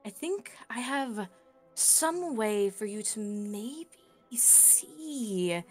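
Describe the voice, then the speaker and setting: A woman's voice: a little high, expressive, very slow. Casual woman player over faint music. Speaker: tabletop game player. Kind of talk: casual roleplay chat. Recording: music behind the voice.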